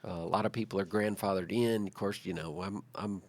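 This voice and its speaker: A man's voice: deep voice, steady tone, brisk, no room sound. A middle-aged man, chatting casually on a talk show in a folksy, conversational way. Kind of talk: casual chat.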